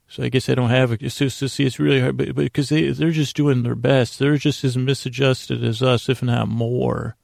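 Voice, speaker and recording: Male bedtime podcast host, heavy vocal fry, halting and self-deprecating, wandering monologue, close dry sound. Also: mid-range voice, little pitch movement, darkish tone, dry recording. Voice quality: gravelly, creaky. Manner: meandering, halting, stammering with repeated words.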